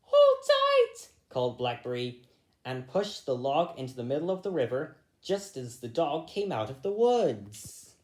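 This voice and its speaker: A story reader narrating a storybook in an animated, deliberate way. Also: medium pitch. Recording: roomy echo.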